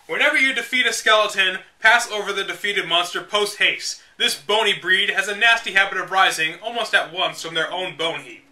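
Bright, high-pitched man, sarcastic read-aloud host. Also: a little fast, hardly any pauses, distant mic. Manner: sarcastic, exasperated. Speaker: host reading a game guide aloud with commentary. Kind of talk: dramatic read-aloud with casual mocking asides.

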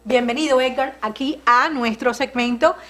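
Woman, middle-aged, TV host, fairly high voice, welcoming, prompting questions, TV studio, lapel mic. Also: normal speed, bright, forceful tone, slight background noise. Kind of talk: studio interview. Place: TV studio set.

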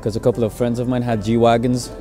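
A man's voice: mid-range voice, little pitch movement, fast, dark tone, faint music behind. A man in his thirties from Egypt telling a story hesitantly, with many restarts, in a casual interview. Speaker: car enthusiast.

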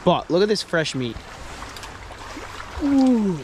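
A young American man vlogging while fishing in a river, excitable and slangy, with loud exclamations, recorded on a head-mounted camera. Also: high-pitched. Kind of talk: excited outdoor vlog commentary. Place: outdoors, wading in a river. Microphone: head-mounted action camera. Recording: loud rushing river noise.

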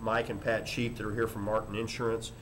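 An older man, a school superintendent, giving a formal report at a board meeting in a halting, measured way with frequent pauses. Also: lowish voice, flat delivery. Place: indoor board meeting room. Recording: noisy meeting room microphone.

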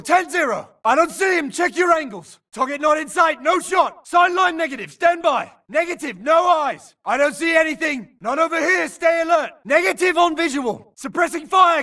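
An actor playing an urgent, alert infantry grunt in a video game, shouting clipped military callouts in battle. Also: male voice, very high voice, far from the mic, heavily acted. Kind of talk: shouted combat barks.

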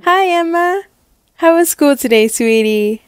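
Brief: woman acting as peppy, encouraging mom in animated roleplay, very sweet coaxing, high voice, dry sound. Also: unhurried, dry recording. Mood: coaxing, excited. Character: peppy, encouraging, theater-loving mother.

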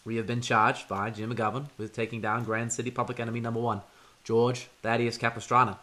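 Confident federal agent, brisk and authoritative, played by a man, old radio drama. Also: medium pitch, level tone, bright, forceful tone, close to an everyday voice. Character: confident male federal agent leading a task force. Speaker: Agent Jack Brewster.

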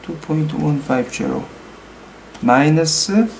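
A man with a Korean accent, a native Korean speaker, slowly working through calculations aloud at a desk in his room, hesitant, with many pauses and fillers. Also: on the high side, vocal fry, slight background noise, low-quality recording. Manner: slow and hesitant, full of pauses and fillers, reading numbers aloud. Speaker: hobby mechanic explaining engine work. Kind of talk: thinking-aloud tutorial. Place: small room at home.

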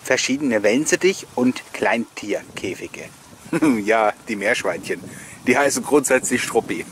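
A man gives an informal voice-over in the manner of a host sharing memories with his viewers. He rambles amiably through anecdotes at a middle pitch and tends to chuckle. There is some audible background noise behind him. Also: animated, a little slow. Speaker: host of a collectors' nostalgia video channel. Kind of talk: chatty voice-over commentary with personal anecdotes.

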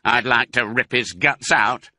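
A man voicing a short, bad-tempered farmer who sneers gruffly in a storybook. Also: mid-range voice, steady tone, a little slow, pushed and bright, no echo, studio quality, voice pushed up. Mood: gruff, sneering.

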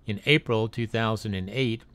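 A man with a somewhat low voice reads a factual voice-over in a plain, steady way. He stumbles and restarts now and then. The recording is close and dry, with no room sound. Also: normal speed, clear, resonant tone, no room sound. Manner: reads a factual text, with small slips and restarts. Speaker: narrator of a drone video. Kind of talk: read-aloud documentary narration.

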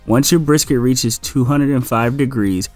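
A man's voice: mid-range voice, normal speed, no echo. A man with a mid-range voice narrates how to cook a dish, talking the listener through each step in an easygoing, conversational way. Faint music runs underneath. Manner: casual, relaxed instruction with "you're gonna wanna". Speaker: family member demonstrating a recipe. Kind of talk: instructional voice-over.

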